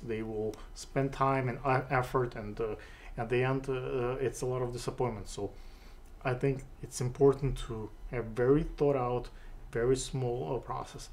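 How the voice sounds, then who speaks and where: A middle-aged man with a Russian accent hosting a studio interview, asking questions haltingly with many fillers and restarts. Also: mid-range voice, a little mellow. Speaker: interview host. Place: studio with city backdrop, seated on sofa.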